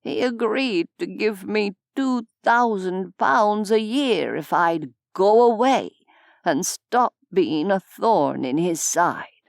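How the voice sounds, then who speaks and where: A woman voicing a weary, wry old male medieval nobleman in an audiobook, speaking in a slow, measured, self-mocking way. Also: mid-range voice, lively intonation, creaky, no echo, clean studio sound. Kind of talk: audiobook character voice.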